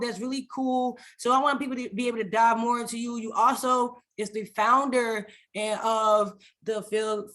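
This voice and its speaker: Host: woman, slightly high voice, energetic casual delivery, repeats phrases, sometimes stumbles. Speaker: podcast host. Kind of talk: casual podcast hosting with prayer.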